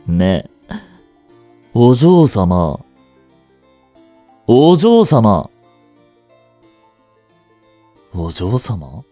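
音声ドラマで、丁寧な口調で感情の激しい、執着に狂っていく若い執事を演じる男性。. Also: male voice, on the low side, very animated, very slow, mellow, dry recording, faint music behind, exaggerated voice. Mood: eerie, coaxing. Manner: formal, polite servant speech, intensely emotional. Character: devoted young male butler turning obsessive and unhinged. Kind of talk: Japanese romantic situation voice drama.

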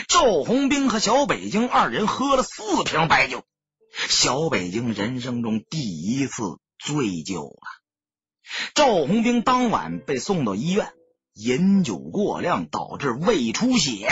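Man, audiobook narrator, very breathy husky voice, expressive storytelling, muffled sound. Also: mid-range voice, no echo. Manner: expressive, theatrical. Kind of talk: dramatic scripted storytelling narration.

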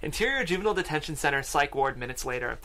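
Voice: mid-range voice, lively intonation, rapid-fire, bright, forceful tone. A narrator reading screenplay stage directions aloud at a table read. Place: podcast studio.

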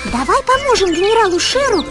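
A woman's voice: extremely high pitch, sing-song, quick pace. A woman voicing a friendly young creature who kindly guides the player in a children's game. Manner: gentle, encouraging, guiding the player. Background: music.

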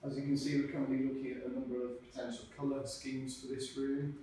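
A male architect giving a measured, explanatory walkthrough of a renovation site, filmed by a camera mic in a large hall under renovation. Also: mid-range voice, little pitch movement, mellow. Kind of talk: scripted walkthrough presentation. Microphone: camera mic from a few metres away. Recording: roomy echo, distant mic, clear background noise.

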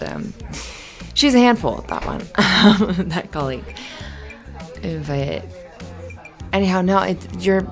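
A woman playing a flustered, friendly young café barista in an ASMR roleplay, chatty and halting in a natural conversational way. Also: on the low side, vocal fry. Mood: flustered, tired. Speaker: voice actor in audio roleplay.

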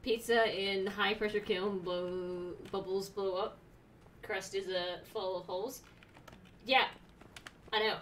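A woman's voice: mid-range voice, fairly even intonation, a bit bright, far from the mic, slight background noise. A young woman streaming a video game, making blunt, sarcastic remarks as she plays. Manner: sarcastic, blunt, quick reactions. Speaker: gaming streamer. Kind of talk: casual let's-play commentary. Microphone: desk mic, webcam.

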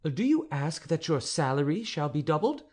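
A man's voice: on the high side, very animated, moderate pace, a little mellow, pitched above the performer's own voice. A man reading an audiobook, voicing a proud, stern noble countess in a haughty, imperious manner.

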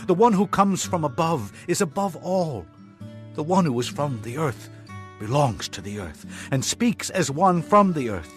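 An actor playing a fervent prophet, declaiming his testimony in a dramatized scripture reading. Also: on the high side.

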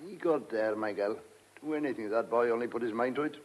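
An actor playing a loyal, plain-spoken old farmhand in a radio drama, with rustic turns of phrase. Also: male voice, medium pitch, fast talker, close to an everyday voice. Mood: reassuring.

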